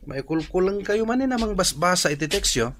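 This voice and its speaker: A man's voice: high voice, expressive, fairly quick, a bit bright, a little hiss or hum. A man playing a suspicious young son, probing and questioning, in an Ilocano radio drama with an Ilocano accent. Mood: curious. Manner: probing, accusatory.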